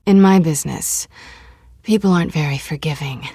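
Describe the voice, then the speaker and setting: A sly, self-assured American female cat burglar for a video game. She speaks on the low side with heavy vocal fry, teasing and cynical, in a close dry recording. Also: moderate pace, dark tone, no room sound. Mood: cool. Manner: teasing, sardonic.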